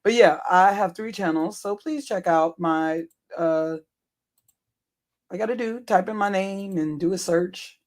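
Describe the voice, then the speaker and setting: Hoarse streamer rambling to chat, lots of ums and little laughs, fixing their own words as they go. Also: unhurried. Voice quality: deep, worn voice. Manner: rambling, self-correcting, chuckling often, many ums. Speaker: livestream host. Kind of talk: casual livestream chat to viewers.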